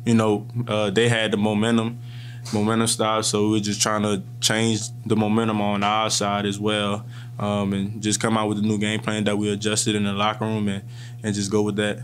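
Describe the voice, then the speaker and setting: A young man in his twenties, a college football player, answering post-game questions in a press room calmly and with frequent restarts, speaking into table microphones. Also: fairly low pitch, slight background noise. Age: 20s. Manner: calm and measured, with frequent restarts. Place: press room in front of a sponsor backdrop. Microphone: table microphones in front of him.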